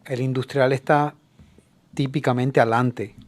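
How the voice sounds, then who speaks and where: A middle-aged man from New York, raised by Cuban parents, speaks as a radio guest at a mid pitch. He explains industrial real estate in a hesitant way and fills his pauses with "eh". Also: steady tone. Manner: hesitant, lots of fillers. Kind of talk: radio interview.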